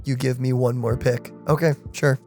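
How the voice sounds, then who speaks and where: A woman's voice: rumbling low, steady tone, normal speed, dark tone. A woman streaming a let's play, casually commenting and reading game dialogue aloud. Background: game music.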